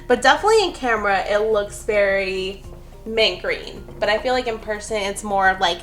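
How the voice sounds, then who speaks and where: A woman with a fairly high voice talks through a nail art tutorial in a casual, rambling way. She drifts into easygoing asides about herself as she works. Soft music plays faintly underneath. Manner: chatty, rambling, self-deprecating asides. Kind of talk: casual how-to narration.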